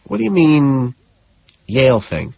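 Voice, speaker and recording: A man's voice: medium pitch, wildly swooping pitch, measured pace, vocal fry, a little mellow, breathy edge, dull audio, voice pushed up, heavily acted. A man voicing a polite, tentative investigator who asks probing questions, in audiobook character dialogue.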